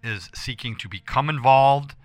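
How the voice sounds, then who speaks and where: An American man hosting a casual radio show with a hoarse voice, talking in a rambling, emphatic way and repeating himself as he addresses listeners. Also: medium pitch, moderate pace, no room sound, clean studio sound. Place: radio studio.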